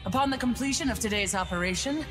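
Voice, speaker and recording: An actress playing a composed woman military commander in a game dub, formal, measured and authoritative. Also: fairly high pitch, lightly acted. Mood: formal, proud. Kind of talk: anime game English dub acting. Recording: reverberant, music behind.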